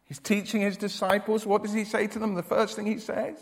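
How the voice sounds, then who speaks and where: A man preaching a sermon with a loud voice, emphatic and given to repeating his words as he starts a sentence. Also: high-pitched, level tone, a little fast, vocal fry, in a large hall.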